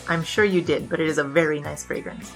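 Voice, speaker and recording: A woman's voice: sits low, steady tone, fast talker. A woman playing a glib, flirtatious bandit who confesses and argues in a comedic noir audio drama. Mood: brisk storytelling. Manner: glib, digressive, argumentative. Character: brash, chatty, flirtatious female bandit king confessing her crimes. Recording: music bed under the voice.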